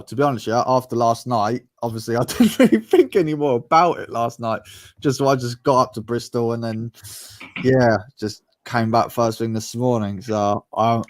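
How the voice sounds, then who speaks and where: A man's voice: mid-range voice, no room sound. A man from the UK chatting casually on a livestream panel, rambling in a laid-back way and laughing often. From United Kingdom. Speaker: panel guest.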